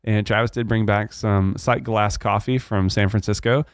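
A man's voice: lowish voice, steady tone, normal speed, smooth, dry recording, studio quality. A man with a Texan drawl, hosting a church podcast in casual, earnest chat with many fillers.

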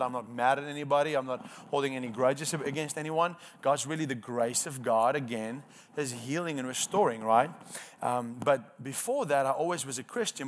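An Afrikaans-accented man in his thirties tells his testimony from the pulpit, earnest and emphatic. His voice sits at an ordinary middle pitch. A faint hiss of noise runs underneath. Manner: earnest storytelling with emphatic repetition. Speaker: preacher. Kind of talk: sermon testimony.

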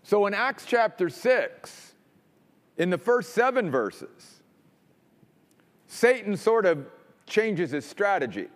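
A man preaching a sermon as pastor, emphatic, dropping low and quiet to stress points. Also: sing-song, slow, pauses often, in a large hall.

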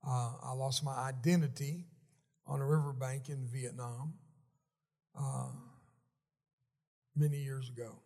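A man in his seventies giving a folksy, joking testimony sermon while seated in a church, often halting and restarting. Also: mid-range voice, steady tone, darkish tone. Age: 70s. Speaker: guest speaker at a church.

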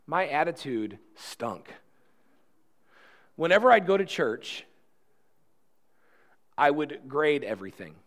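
Male pastor, early 40s, slightly higher voice, chatty and earnest sermon delivery, restarts and asides. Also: big pitch swings, slow, pauses often. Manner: conversational and earnest, with rhetorical questions and self-deprecating asides; repeats words and restarts often.

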